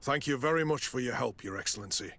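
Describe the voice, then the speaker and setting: An actor playing a stern but fair military quartermaster in a video game, with a formal, authoritative manner. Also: male voice, medium pitch, animated, quick pace, sharp tone. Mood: stern, official. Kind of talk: scripted video game acting.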